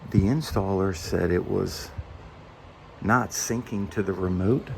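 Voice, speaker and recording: A man's voice: deep voice, slow pace, vocal fry, a little hiss or hum, low-quality recording. A man working as an HVAC technician, talking through a casual work vlog with a stuffed-up, congested voice while thinking aloud. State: stuffed up, under the weather.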